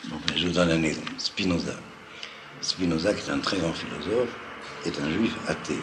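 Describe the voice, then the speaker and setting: Deep-voiced man lecturing in an echoey room. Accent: slight southwestern French (Toulouse-like). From Algeria. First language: Judeo-Arabic. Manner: didactic, halting, repeats phrases for emphasis. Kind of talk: extemporaneous lecture to listeners. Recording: roomy echo, faint background noise.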